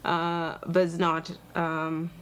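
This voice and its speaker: A Sri Lankan woman in her forties with a Sri Lankan accent, a lawyer answering interview questions earnestly and thoughtfully, pausing on many fillers. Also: on the low side, monotone, a bit bright, clean tone, rough recording. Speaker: guest, human rights lawyer and advocate.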